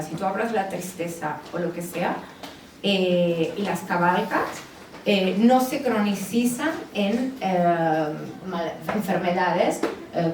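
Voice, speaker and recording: A woman's voice: lowish voice, darkish tone. A woman psychologist with an Italian accent, speaking with many hesitations and fillers at a book presentation. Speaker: psychologist and author. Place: bookstore event space. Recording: roomy, distant mic with background noise.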